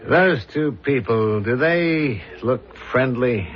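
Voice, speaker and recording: An actor playing a dogged, brooding police inspector with a deliberate, probing manner, in 1970s radio drama style. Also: male voice, medium pitch, lively intonation, soft background music. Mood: musing, suspicious. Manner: deliberate, probing, clipped questions. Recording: dull lo-fi radio drama recording.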